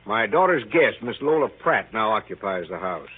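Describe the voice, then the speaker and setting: A man's voice: lowish voice, very animated, normal speed, husky. A man playing an exasperated, sardonic middle-aged father, narrating a 1930s radio drama in a dry, weary, complaining way. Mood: wry, exasperated. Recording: tinny 1930s radio recording.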